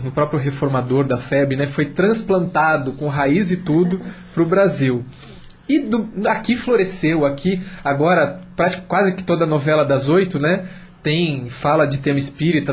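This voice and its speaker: A man's voice: a little high, slight background noise. A Brazilian man explaining earnestly and conversationally as a guest on a radio panel, sometimes posing rhetorical questions.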